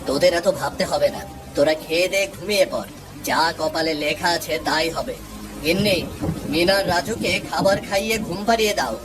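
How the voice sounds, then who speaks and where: Cartoon village father, male actor: high-pitched for a man, grumbling, fretful, expressive, music behind him. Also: brisk, close to an everyday voice, poor audio. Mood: matter-of-fact. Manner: complaining, expressive, homely. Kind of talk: Bengali moral-story cartoon voice acting.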